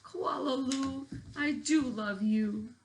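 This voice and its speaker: A woman voicing a loving, devoted mother koala in a storybook, speaking slowly and affectionately. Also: high-pitched, a little hiss or hum, voice pushed up. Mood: tender, loving. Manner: slow, drawn-out, affectionate delivery.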